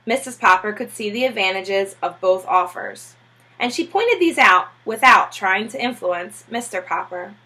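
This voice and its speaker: A woman reading a children's novel aloud as a storybook narrator, with a husky voice. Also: medium pitch, lively intonation, normal speed, bright, forceful tone, poor audio. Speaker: storybook reader.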